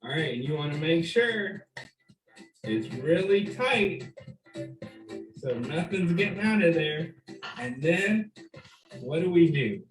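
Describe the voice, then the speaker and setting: Slow-paced man guiding young children, roomy echo. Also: on the high side, animated, over music. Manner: simple step-by-step phrasing with questions and pauses for the child. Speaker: children's story time host. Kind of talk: children's cooking demonstration, speaking directly to young viewers.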